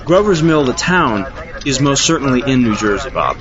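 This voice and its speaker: A man's voice: mid-range voice, very animated, a crowd in the background, natural voice. A man playing a rambling, easily sidetracked on-scene reporter in a comedy radio news parody. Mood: earnest rambling. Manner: halting, repetitive, easily sidetracked. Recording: noisy lo-fi outdoor field report.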